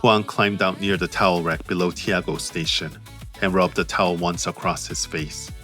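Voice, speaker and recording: A man narrating his own audiobook in a measured, understated way. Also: deep voice, steady tone, bright, forceful tone, soft background music. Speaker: author and narrator.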